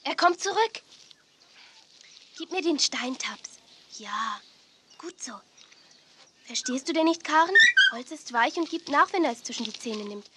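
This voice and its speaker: A woman's voice: high-pitched, lively intonation, measured pace, long pauses. An actress playing a caring, sensible young woman in a children's audio drama, patiently explaining things. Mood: patient, instructive. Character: caring, sensible young woman, big-sister type with a puppy. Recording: noisy 1980s children's cassette play.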